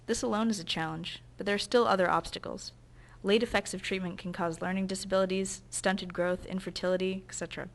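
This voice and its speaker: A woman narrating a documentary in an earnest, measured, scripted way. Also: medium pitch, fairly even intonation.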